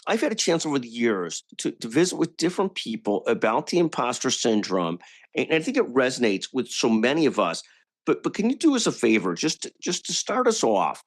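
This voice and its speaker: Lively, upbeat man hosting a podcast. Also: mid-range voice. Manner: enthusiastic, restarts with repeated words. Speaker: podcast host. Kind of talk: podcast interview.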